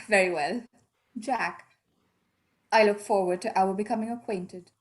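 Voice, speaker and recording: A woman's voice: medium pitch, steady tone, a little slow, close to an everyday voice. A woman playing a proud, composed young lady in a radio drama, speaking with polite formality. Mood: coy.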